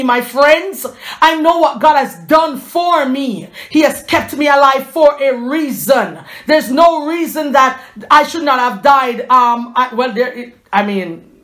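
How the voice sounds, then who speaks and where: A woman's voice: high voice, a bit bright. A woman in her forties giving a fervent, chatty inspirational faith talk to her viewers, with a preachy edge and frequent restarts. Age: 40s.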